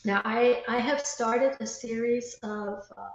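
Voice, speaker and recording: A woman with a fairly high voice speaks as a guest in a very reverberant, roomy recording. She explains things conversationally, pausing between phrases as she gathers her thoughts. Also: fairly even intonation, vocal fry, muffled recording. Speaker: interview guest, writer. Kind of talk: casual chat, explaining. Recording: very echoey, roomy sound.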